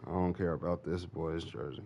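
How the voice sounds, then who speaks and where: A man's voice: low-pitched, fairly even intonation, a little fast, over loud music. A man chatting casually to viewers while streaming a game, slangy and informal, often repeating himself. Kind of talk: casual gaming livestream chat. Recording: noticeable background noise, game ambience.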